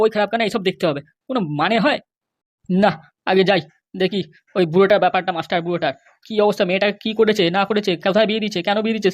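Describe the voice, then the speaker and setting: Woman voicing rapid-fire grumbling cartoon father. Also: mid-range voice, natural voice. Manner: exasperated, grumbling, blustering. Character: grumbling, blustering middle-aged father and local politician. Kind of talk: cartoon dialogue.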